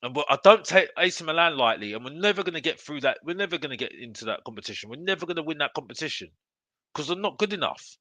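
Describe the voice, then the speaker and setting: A man's voice: on the high side, animated, quick pace, bright, forceful tone, no room sound. A man hosting a live football fan show, ranting in an emphatic, repetitive, exasperated way. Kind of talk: live opinionated fan rant to viewers.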